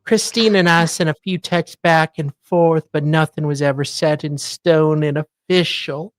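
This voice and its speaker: A woman's voice: deep voice, steady tone, measured pace, no room sound, studio quality. A woman co-host reading documents aloud in a theatrical, mocking way, breaking off for casual asides. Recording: faint music behind voice. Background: faint music.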